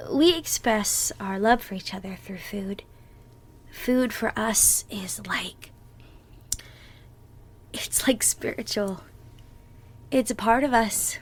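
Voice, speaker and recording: A woman playing an affectionate, excitable girlfriend in a casual ASMR roleplay, chatting encouragingly with soft murmured asides as she teaches baking. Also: medium pitch. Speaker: audio roleplay performer.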